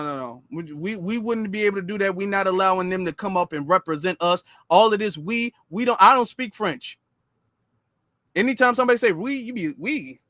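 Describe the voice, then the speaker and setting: A man from the United States hosting a commentary monologue, sarcastic and mocking, stammering and repeating words as he swings between loud and quiet. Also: high-pitched, no echo. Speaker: commentary channel host.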